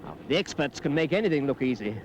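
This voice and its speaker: An actor playing an upbeat television sports presenter in a spoof broadcast. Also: male voice, mid-range voice, very animated, fast, a little hiss or hum, close to an everyday voice, rough recording. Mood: brisk, upbeat. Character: brisk, upbeat television sports show host.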